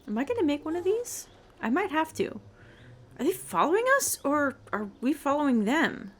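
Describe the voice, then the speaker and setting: Woman gamer, fairly high voice, casual play-along commentary. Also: big pitch swings, moderate pace. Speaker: gamer reading quest dialogue and commenting.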